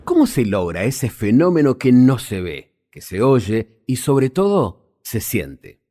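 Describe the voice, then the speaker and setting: A man's voice: medium pitch, sing-song, slow pace, mellow. A man narrating a scripted documentary as its host.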